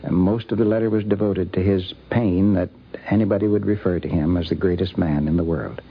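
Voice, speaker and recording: An older American man telling anecdotes off the cuff in a halting, deliberate way. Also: low voice, fairly even intonation, husky. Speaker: interviewee recalling a friend. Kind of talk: unscripted anecdotal reminiscence. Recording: dull vintage radio recording.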